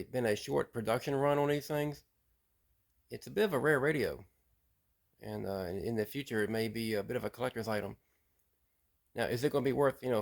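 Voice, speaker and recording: A man hosting a casual show-and-tell about radio gear, relaxed and plain-spoken, with frequent pauses and repeated words. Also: mid-range voice. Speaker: radio hobbyist host.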